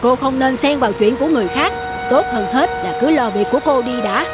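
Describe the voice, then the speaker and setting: An actor playing a gruff, curt, middle-aged bicycle repairman in a cartoon. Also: very high voice, brisk, over music. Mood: grumpy. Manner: curt, grumbling. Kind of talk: cartoon dialogue.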